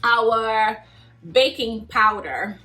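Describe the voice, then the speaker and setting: A woman hosting a children's Bible lesson, cheerful and animated, laughing often as she talks to kids. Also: on the high side, very slow, faint music behind, low-quality recording. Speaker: children's story host.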